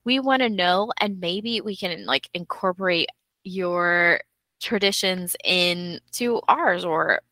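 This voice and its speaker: A woman's voice: fairly low pitch, takes it slowly, pushed and bright, dry recording. A young woman co-hosting a podcast in casual chat, playful and upbeat, laughing often. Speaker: podcast co-host.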